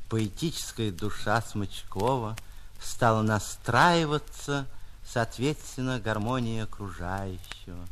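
A man narrating a literary short story like a stage actor, theatrical, with drawn-out emphasis and dramatic pauses. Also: mid-range voice, slight background noise.

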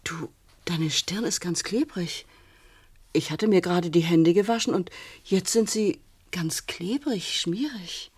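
A woman's voice: lowish voice, a little slow, darkish tone, slightly rough. An actress in a German radio play playing a fretful middle-aged wife and mother who pleads and hesitates anxiously. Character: anxious, fussing housewife, worried wife and mother.

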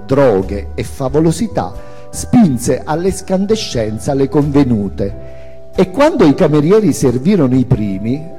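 A man's voice: medium pitch, mellow, big-room sound. A man giving a theatrical reading of autobiographical prose as its author and narrator, with dramatic, emphatic phrasing.

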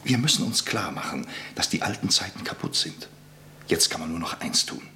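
Naive solemn lawyer in a radio play, super low voice, hesitant and earnest, slight hum. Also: male voice, lively intonation, a little fast, creaky, sharp tone, heavily acted. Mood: earnest, pleading. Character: solemn, humble, naive conservative provincial lawyer and politician. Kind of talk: German radio play acting.

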